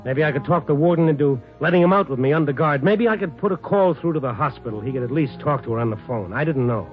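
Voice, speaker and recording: A man's voice: fairly high pitch, lively intonation, fast, lightly acted. Male actor as newspaperman, dogged, compassionate, terse, probing, vintage radio drama, dulled sound. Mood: urgent, brooding. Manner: terse first-person storytelling and probing questions. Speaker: actor in the lead role and narrator. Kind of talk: 1950s radio drama acting. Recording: muffled old radio recording with music.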